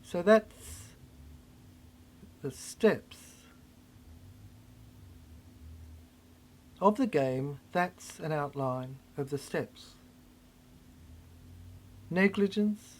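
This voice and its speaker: A woman's voice: sits low, a little mellow. A woman performing a theatrical dramatic monologue as an unhinged mad scientist in an asylum, rambling obsessively in repetitive fragments. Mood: brooding, subdued. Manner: stammering, repetitive, fragmented delivery.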